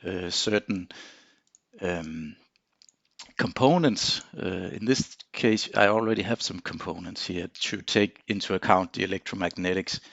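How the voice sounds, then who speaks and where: A man giving an informal technical screen demonstration, explaining in a halting way with frequent pauses. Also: low voice, vocal fry, a bit bright, no room sound, highs cut off. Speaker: engineer presenting a software tutorial.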